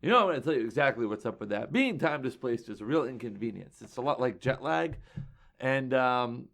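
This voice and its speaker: A man's voice: medium pitch, animated, fairly quick, vocal fry, a bit bright. A man co-hosting a casual comics podcast, joking and teasing his partner. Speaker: podcast co-host. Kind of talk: casual chat.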